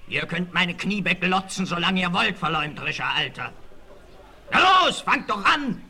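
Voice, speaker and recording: An actor playing a sly captured gang leader in a radio play, mocking and feigning innocence with a raspy, theatrical delivery. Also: male voice, sits high, very animated, moderate pace, a little hiss or hum, full character voice. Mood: mocking indignation. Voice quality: raspy, sharp. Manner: sneering, theatrical. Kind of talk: 1960s German radio play acting.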